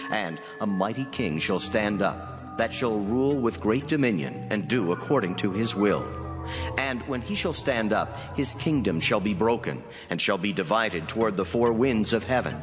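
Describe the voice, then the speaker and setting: A man playing a solemn heavenly messenger in dramatized scripture, delivering prophecy in a measured, declamatory way. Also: fairly low pitch, lively intonation, lightly acted. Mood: grave, prophetic. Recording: long hall reverb under clear music. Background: music.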